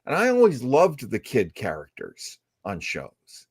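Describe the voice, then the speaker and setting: A man's voice: mid-range voice, huge pitch swings, a little slow, breathy edge, dry recording, studio quality. A man hosting a show, chatting casually and musing as he explains things at length. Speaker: radio show host. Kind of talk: casual chat about old radio.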